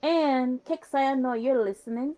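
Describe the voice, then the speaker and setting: A woman's voice: high-pitched, unhurried, mellow, no echo. A woman from Guyana chatting casually as a guest on a gaming show. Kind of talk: casual chat.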